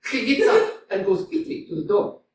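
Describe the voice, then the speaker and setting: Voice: high-pitched, very animated, moderate pace, darkish tone, slight background noise. A reader voicing the stage directions of a staged comedy, plainly and sometimes laughing. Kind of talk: reading stage directions aloud. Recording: echoey hall, mic from far off.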